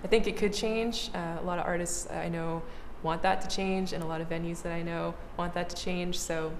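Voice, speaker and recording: A woman's voice: on the low side, steady tone, brisk, slight background noise. A woman, speaking candidly and conversationally as a singer-songwriter in a casual documentary interview. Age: 20s. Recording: echoey room with long reverb, music underneath. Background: music.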